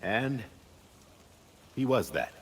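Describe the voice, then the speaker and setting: An actor playing an older, dignified uncle in mourning, delivering a solemn eulogy with deliberate pauses in a video game cutscene. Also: male voice, medium pitch, big pitch swings, fairly bright tone, heavily acted. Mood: solemn, fond. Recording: long hall reverb, faint rain noise.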